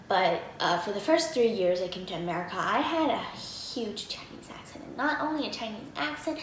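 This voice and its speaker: A teenage girl giving casual reaction commentary at home, chatty with many fillers. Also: medium pitch. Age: teens. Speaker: vlogger reacting to a video. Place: home room, indoors. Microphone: desk mic in front of her. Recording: roomy echo with faint background hum.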